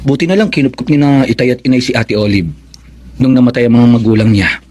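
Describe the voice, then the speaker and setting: An actor as a responsible young Filipino big brother. His medium-pitched voice is earnest and brotherly, in radio drama style. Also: quick pace, a little mellow, slight background noise, natural voice. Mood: earnest, chiding. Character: Glenn, responsible, mildly scolding young older brother. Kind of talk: Tagalog radio drama acting.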